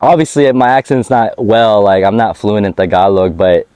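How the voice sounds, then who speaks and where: A man's voice: on the low side, moderate pace, a little mellow, clean tone, dry recording. A man giving a casual interview about his music, talking in a laid-back slangy way and laughing often. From United States. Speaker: rapper being interviewed. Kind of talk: casual interview chat on a hike. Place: outdoors on a hike.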